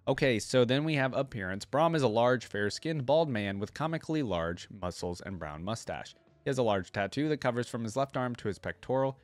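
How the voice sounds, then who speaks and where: A man's voice: mid-range voice, no echo. A man hosting a casual reaction video, commenting conversationally and thinking aloud between bits of reading. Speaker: YouTube reaction host.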